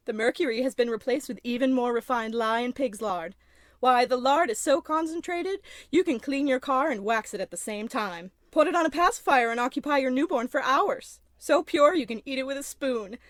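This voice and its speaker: A woman performs a chipper old-time radio pitchwoman. Her high voice sells eagerly in parody advert style, recorded dry and close. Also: dry recording.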